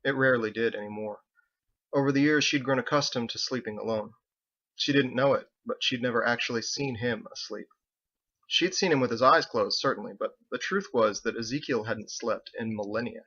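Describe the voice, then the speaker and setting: A man's voice: medium pitch, a little fast, pushed and bright, muffled recording. A man reading scripted audiobook narration, an author telling his own story.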